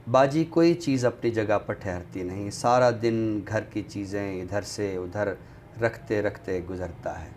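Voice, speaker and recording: A man reciting poetry on a literary show in a deliberate, expressive way, returning to refrains. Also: fairly low pitch. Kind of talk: dramatic Urdu poetry recitation, then book talk. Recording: clearly noisy background ambience.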